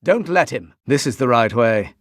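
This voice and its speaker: A man's voice: mid-range voice, expressive, moderate pace, no echo, close to an everyday voice. A male actor playing a composed, wry Jedi master in a video game, with a refined British accent and dry, formal phrasing. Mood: measured, cautious. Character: composed, wry Jedi master, adult man mentoring an apprentice. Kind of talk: video game voice clips, short barks and dialogue lines.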